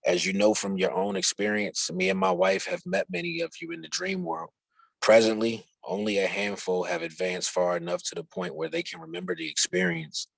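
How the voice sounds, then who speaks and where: A man reads instructional book dialogue aloud in a low, creaky voice. His tone is husky and rough, and the sound is dry and close with very little room in it. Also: big pitch swings, a little fast, dry recording, rough recording. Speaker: reader of a book chapter.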